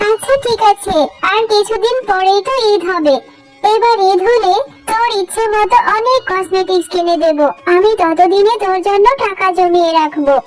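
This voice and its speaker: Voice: very high-pitched, moderate pace. An actor voicing a poor, weary but caring mother who scolds and soothes, in a cartoon story with music behind. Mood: caring, firm. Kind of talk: Bengali cartoon story dubbing.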